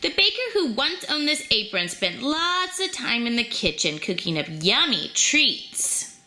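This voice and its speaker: Woman storybook reader for young children, higher-pitched and very lively, expressive delivery. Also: measured pace. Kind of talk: children's story read aloud.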